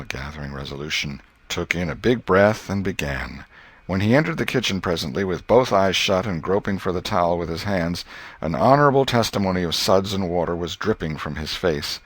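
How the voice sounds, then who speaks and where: A man narrating a classic novel as an audiobook reader, in a measured, wry storytelling manner. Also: sits low, expressive, slightly rough.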